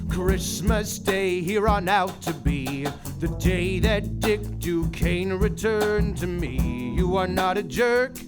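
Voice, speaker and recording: A stately town mayor, played by a woman, singing a proclamation very slowly in a lowish voice. Loud country guitar music backs her. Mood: ceremonial. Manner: slow, sung proclamation. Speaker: actor in a live comedy musical.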